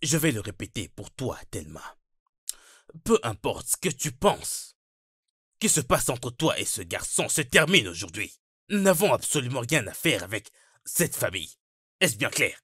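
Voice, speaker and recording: A man's voice: medium pitch, sing-song, moderate pace, long pauses, sharp tone, breathy edge, no echo, clean studio sound, heavily acted. An actor playing a stern, menacing middle-aged father, domineering and confrontational, in a dubbed melodrama. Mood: stern, commanding.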